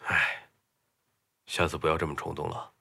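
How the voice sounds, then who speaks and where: A man playing a weary, forgiving superior in a radio drama, with a gravelly, breathy voice. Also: a bass voice, very animated, normal speed, sharp tone, full character voice. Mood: relieved, chiding. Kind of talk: Chinese radio drama acting.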